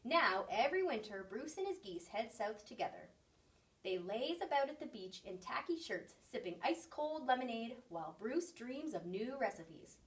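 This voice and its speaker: Animated woman reading a picture book. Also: fairly high pitch, normal speed, recorded from a distance, poor audio. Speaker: story reader. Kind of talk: expressive picture book read-aloud for children.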